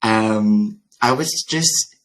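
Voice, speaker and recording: A man from the Philippines, a podcast guest, earnestly telling his story in a measured way. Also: fairly low pitch, fairly bright tone. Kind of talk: conversational storytelling.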